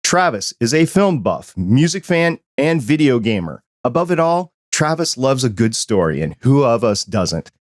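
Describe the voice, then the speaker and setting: A man hosts a hobby podcast in an upbeat, newsy way, running through announcements before chatting with his guest. His voice sits at a middle pitch. It is recorded close and dry, with no room sound. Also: sing-song, normal speed, hardly any pauses, no echo. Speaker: podcast host. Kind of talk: casual podcast intro and interview.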